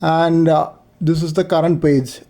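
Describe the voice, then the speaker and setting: Mellow, higher-voiced man explaining step by step. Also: flat delivery, vocal fry. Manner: halting, explanatory, in short step-by-step phrases. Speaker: amateur radio operator giving a software demo. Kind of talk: unscripted screen-share tutorial narration.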